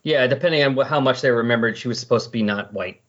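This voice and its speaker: Guy cohosting a comics podcast, bit raspy, rambly chat, muffled lofi audio. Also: mid-range voice, fast, fairly bright tone. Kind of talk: casual chat about comics.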